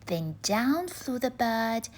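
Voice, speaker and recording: Animated, husky woman storyteller. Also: a little high, slow. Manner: dramatic, rhythmic phrasing. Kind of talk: expressive children's read-aloud of a rhyming bedtime story.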